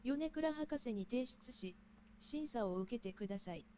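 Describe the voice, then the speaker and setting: Woman as a high, brisk, formal announcer. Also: expressive, mellow, a little hiss or hum, lightly acted. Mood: impersonal, formal. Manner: formal, procedural. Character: impersonal, formal Japanese female announcer of student recordings. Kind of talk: scripted announcement.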